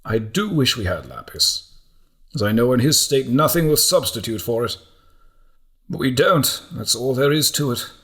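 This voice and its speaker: A man performs an older monk and mentor in a historical audiobook. He speaks firmly and with measured authority, calm and instructive, pitched above the reader's low natural voice. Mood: resigned, kind. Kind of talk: audiobook character acting.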